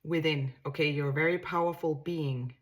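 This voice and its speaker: Low-voiced woman with a Danish accent. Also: steady tone, normal speed. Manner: musing, repeats and restarts words, reassuring. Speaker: tarot reader. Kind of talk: casual tarot reading to camera.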